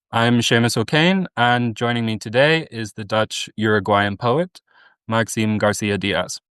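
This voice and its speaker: Man, podcast host, measured, formal, smooth clear voice, dry close sound. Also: medium pitch, fairly bright tone, no room sound. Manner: measured, formal introductions and questions. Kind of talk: podcast interview.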